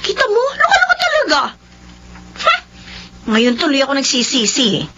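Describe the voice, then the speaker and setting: A woman's voice: high-pitched, wildly swooping pitch, normal speed. An actress playing a gossipy, loyal female friend, indignant on her friend's behalf. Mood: indignant, nosy. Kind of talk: radio-drama acting. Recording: muffled lo-fi recording, faint hum.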